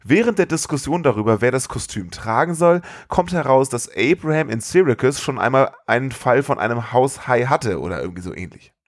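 A man with an average-pitched voice co-hosts a film podcast. He recounts plots in a loose, conversational style. He sounds amused by the material. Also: lively intonation, a little fast, few pauses, no room sound, clean studio sound. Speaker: podcast host. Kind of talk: film recap, casual and amused.

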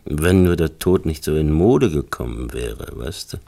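An actor in a radio drama playing a brooding, tender film artist who talks in a hesitant, musing way. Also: male voice, animated, a little slow, mellow, full character voice. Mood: musing, weary. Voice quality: deep, creaky. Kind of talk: German radio drama acting.

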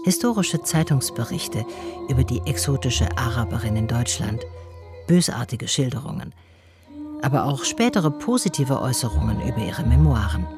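An actress playing an observant, dryly sardonic woman who narrates her investigation in a radio drama in a measured, literary way. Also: a bass voice, big pitch swings, music in the background, full character voice.

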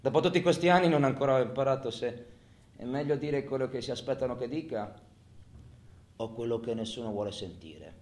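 An actor playing a bitter, cynical prisoner in a colloquial radio theatre monologue, muttering to himself and breaking into loud outbursts. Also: male voice, mid-range voice, brisk, natural voice. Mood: weary, brooding. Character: cynical, bitter male convict musing in his cell. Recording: roomy, echoing sound.

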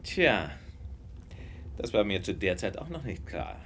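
An actor playing a shrewd, self-assured detective recounting a case in a radio drama, knowing and measured. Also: male voice, fairly low pitch, very animated, fairly bright tone, slight background noise, heavily acted. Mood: knowing, composed.

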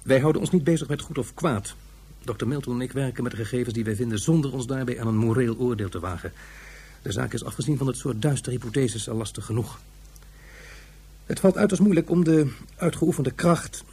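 A learned, earnest man of science in a radio play, formal and long-winded. His voice is very husky and rather mellow, and he speaks fast. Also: mid-range voice. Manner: formal, wordy. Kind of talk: radio drama acting.

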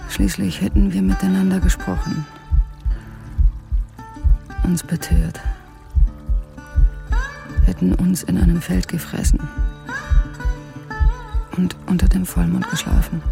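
A woman's voice: fairly low pitch, little pitch movement, takes it slowly, vocal fry, pauses often, over music, exaggerated voice, poor audio. An actress playing a fierce, yearning woman telling her story in a radio drama.

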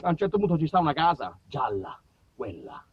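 A man playing a muddled villager in a comic radio monologue, giving directions in a halting, repetitive, dialect-tinged way. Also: a little high, big pitch swings, slightly rough, dry recording, lo-fi sound, voice pushed down. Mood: rambling.

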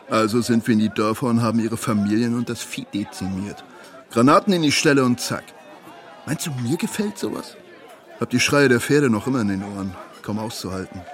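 A man's voice: medium pitch, lightly acted. A man acting in a German radio drama as a boastful, self-important SS soldier, smug and jovially menacing. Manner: pompous, smug. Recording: crowd murmur behind the voice.

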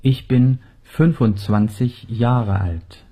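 A man reading example sentences and words for a radio language lesson, pronouncing each one carefully and deliberately as a model, recorded on a studio mic. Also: mid-range voice, warm tone, husky. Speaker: dialogue and pronunciation reader. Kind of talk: scripted radio lesson drills.

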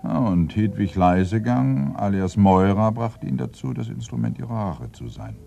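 An actor playing a brooding, irritable senior police inspector in a radio crime play, ponderous and commanding with sudden emphasis. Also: male voice, low voice, expressive, normal speed, creaky, dark tone, a little hiss or hum. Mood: sombre, reporting. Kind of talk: German radio play acting.

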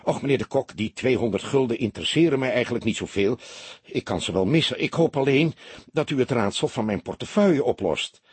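A small, proper gentleman read by a male narrator, polite and slightly timid. The voice is husky with lively pitch, recorded dry. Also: brisk, no echo. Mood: polite, earnest.

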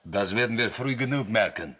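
A sceptical crewman on a moon station in a radio play. His voice is fairly low with a sharp edge. He speaks in a dry, pedantic, contrary way. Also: expressive, moderate pace. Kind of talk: science fiction radio drama.

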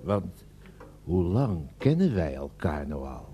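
A rambling old communist window cleaner. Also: male voice, low voice, big pitch swings, slow, mellow, husky, exaggerated voice. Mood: nostalgic. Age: older. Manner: rambling, hesitant with many ums, sentimental. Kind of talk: radio play acting.

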